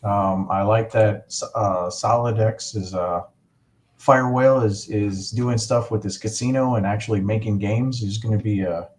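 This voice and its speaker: Male livestream host, lowish voice, eager rambling delivery, slight background noise. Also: fairly even intonation, normal speed, darkish tone. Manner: rambling, enthusiastic, many fillers. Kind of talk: casual livestream chat.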